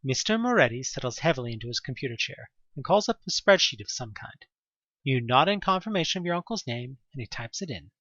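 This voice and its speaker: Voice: mid-range voice, big pitch swings, fast, fairly bright tone, no echo, lo-fi sound. A narrator reading a scripted second-person story for an audio drama.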